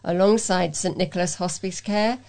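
A woman's voice: fairly low pitch, steady tone, moderate pace, darkish tone. A woman presenting and reading local news items aloud as a volunteer. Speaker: volunteer newsreader and presenter for a talking newspaper.

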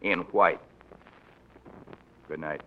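Low-voiced, stern young Southern gentleman. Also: steady tone, very slow, exaggerated voice. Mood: stern, indignant. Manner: formal, commanding. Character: proud, stubborn young Southern gentleman suitor, sternly proper. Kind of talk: 1940s radio drama acting. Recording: dull 1940s radio broadcast.

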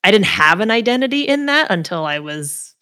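A woman's voice: medium pitch, big pitch swings, brisk, pushed and bright, smooth. A woman with an American accent, hosting a casual conversational interview and sharing her own anecdotes. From United States, Ohio. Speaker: interview host.

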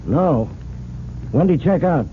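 Stubborn cop, raised animated voice, blustering, grumbling, old radio drama, tinny hissy recording. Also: male voice, fairly high pitch, a little slow. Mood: exasperated. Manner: blustering, grumbling, sure of himself. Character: exasperated, stubborn police detective, adult man. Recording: tinny narrow-band vintage radio recording, hiss.

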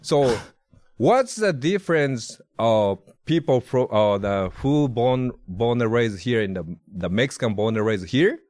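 A man's voice: medium pitch, lively intonation, darkish tone, dry recording. Japanese guy with an accent, broken english, lots of likes and yeahs, mid voice, dry studio sound. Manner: halting broken English, many fillers. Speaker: podcast guest. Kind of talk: casual chat. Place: podcast studio.

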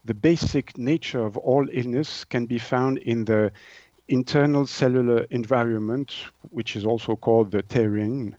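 Halting Swiss French man, dull line. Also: mid-range voice, mellow, no room sound. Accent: French-speaking Swiss. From Switzerland. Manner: halting, searching for words. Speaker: interview guest, naturopath. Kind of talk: explanatory interview talk.

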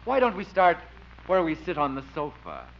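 An actor in 1950s radio comedy playing a smug, suave mayor and romantic rival with a deliberate, self-satisfied delivery. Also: male voice, a little high, wildly swooping pitch. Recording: noisy, muffled 1950s radio recording.

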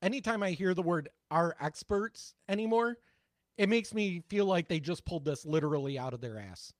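A man's voice: high voice, animated, moderate pace, no echo, studio quality. A man co-hosting a casual political podcast, talking bluntly and sarcastically, with some profanity. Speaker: podcast co-host.

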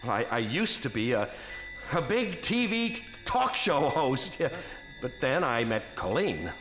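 An actor playing a timid, henpecked husband with a nervous stammer in a comic audio drama. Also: male voice, mid-range voice, big pitch swings, unhurried, roomy sound, music in the background. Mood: wistful, meek. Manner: nervous stammer, meek and flustered. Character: timid, henpecked, stammering husband, former talk show host.

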